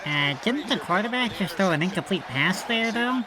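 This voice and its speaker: A man's voice: high voice, animated, moderate pace, a crowd in the background, background noise. A man playing a naive, eager young son-in-law in a scripted comedy sketch, boyish and gullible.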